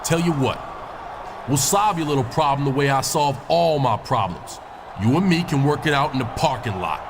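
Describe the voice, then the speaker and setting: Man acting as combative game wrestler, slangy bragging, lively mid-range voice, crowd noise behind. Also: medium pitch, normal speed, close to an everyday voice. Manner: boastful, slangy. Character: cocky, street-talking male pro wrestler, combative.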